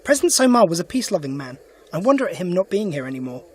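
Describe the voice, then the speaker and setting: An actor playing a sharp, principled alien adventurer in an audio drama, brisk and inquisitive. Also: male voice, sits high, very animated, slight background noise. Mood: thoughtful, brisk. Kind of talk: full-cast audio drama acting.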